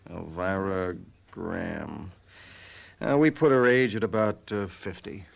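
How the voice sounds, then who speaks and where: Casting: measured police lieutenant, low creaky voice, official but hesitant, old-style radio play. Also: male voice, full character voice. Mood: measured, probing. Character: businesslike, tactful male police lieutenant. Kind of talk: golden-age style radio drama acting.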